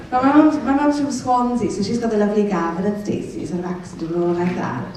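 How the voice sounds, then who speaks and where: A woman from Wales with a light Welsh-English accent, performing a chatty, self-mocking stand-up comedy set. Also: slight background noise. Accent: mild North Welsh-English. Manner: chatty, self-mocking, bursts of shrill put-on voices. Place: large concert hall on stage. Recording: echoey concert hall, taped from far off. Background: laughing crowd.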